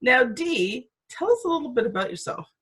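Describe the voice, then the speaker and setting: A woman's voice: high voice, very animated, normal speed, fairly bright tone, husky. A woman speaking as a podcast host, introducing the show and chatting with a guest. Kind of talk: podcast introduction and interview chat.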